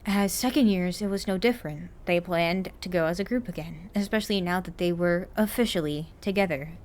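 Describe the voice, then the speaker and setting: A woman's voice: lowish voice, fairly quick, a little mellow. A woman reading a story aloud as scripted narration, like an amateur audiobook. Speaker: narrator of a fan fiction podfic.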